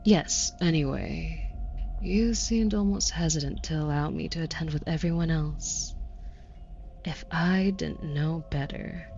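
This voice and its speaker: A woman's voice: fairly low pitch, gravelly, darkish tone. A woman playing a haughty, flirty, sardonic demon buyer in a scripted audio roleplay, drawling with condescension. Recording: muffled, with audible background noise.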